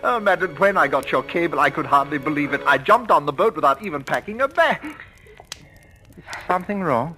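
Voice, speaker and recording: A man's voice: fairly high pitch, animated, brisk, sharp tone, soft background music. A man playing a young stage actor in an old-time radio drama, effusive, genteel and polite. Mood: eager, gushing. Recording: vintage radio recording.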